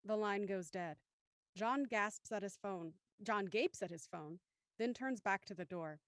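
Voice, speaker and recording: A woman narrates an audio thriller with a mid-range voice. Her scripted delivery is measured and descriptive, recorded close and dry. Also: medium pitch, dry recording. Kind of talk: scripted audio drama narration, reading stage directions.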